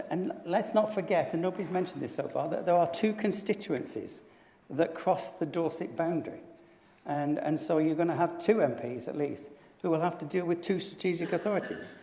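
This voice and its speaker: A man's voice: on the high side, warm tone, a little hiss or hum. An older man, a councillor speaking in a council debate, blunt and full of hesitant fillers and restarts. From England. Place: council chamber. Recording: echoey chamber with long reverb.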